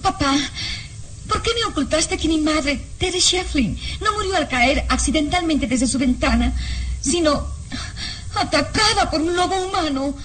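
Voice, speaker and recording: An actress playing a young, anguished but defiant noble daughter in a melodramatic radio drama, pleading and insistent. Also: female voice, high-pitched, expressive, a little slow, a bit bright, low-quality recording. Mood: anguished, accusing.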